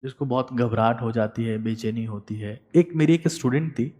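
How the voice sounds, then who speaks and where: A man giving a motivational study lecture as a teacher, emphatic and repeating key words, swinging between loud and soft. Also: medium pitch, moderate pace, in a large hall.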